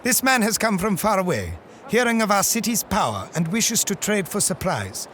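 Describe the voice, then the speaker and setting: An actor playing a cryptic, solemn desert guide in an audio drama, with poetic, ominous phrasing. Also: male voice, high voice, very animated, normal speed, a little hiss or hum. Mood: formal. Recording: loud outdoor ambience behind voice.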